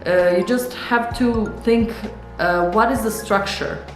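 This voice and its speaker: A young woman with a Serbian accent, a Serbian native speaker, rambling and thinking out loud in a casual studio vlog, with many fillers and restarts. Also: medium pitch, slow pace, roomy sound, soft background music, low-quality recording. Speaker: illustrator and author. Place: home art studio.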